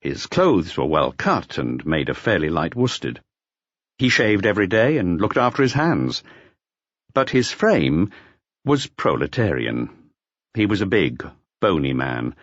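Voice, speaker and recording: A man with a low voice reads a mystery audiobook. His delivery is measured but expressive, moving widely in pitch, and the recording is dry and slightly dull. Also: no room sound. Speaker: audiobook narrator. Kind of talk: scripted mystery novel narration.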